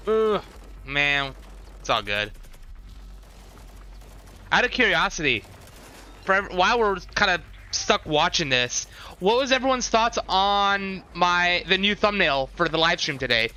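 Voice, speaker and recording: A man's voice: fairly high pitch, bright, forceful tone, clean tone. A man chatting casually to viewers as a livestreamer, giving commentary with sarcastic, unimpressed asides.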